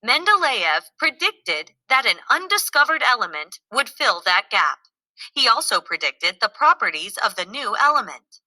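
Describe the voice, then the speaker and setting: Woman reading out a lesson, super bright voice, lots of up and down, really dry close recording. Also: on the high side, sing-song, normal speed, no echo, poor audio. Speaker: narrator. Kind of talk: scripted educational narration, reading aloud.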